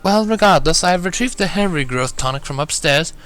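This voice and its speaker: Guy doing a prim girl unicorn, high and bright, formal fussy talk, storybook character. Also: lively intonation, a little fast, smooth, soft background music. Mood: insistent. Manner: polite, formal, wordy. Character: refined, fussy female unicorn, insistent and dry. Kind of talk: fan fiction storybook character voice.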